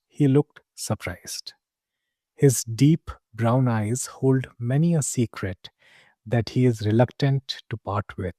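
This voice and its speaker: A man acting as a mysterious tavern keeper spinning fantasy tales for a story podcast. His voice is warm and relaxed, with a slow, deliberate delivery. He is genial and confiding, dramatic in his phrasing, and the sound is close and dry. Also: mid-range voice, no room sound, close to an everyday voice. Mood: hushed, intrigued. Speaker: narrator. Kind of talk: scripted first-person fantasy storytelling.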